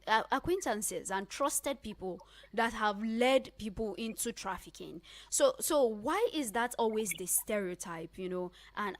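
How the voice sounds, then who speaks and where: Nigerian lady radio host, chatty interview style, normal pitch, dry studio-type sound. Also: dry recording. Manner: attentive, frequent short backchannels. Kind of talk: radio interview, conversational questioning.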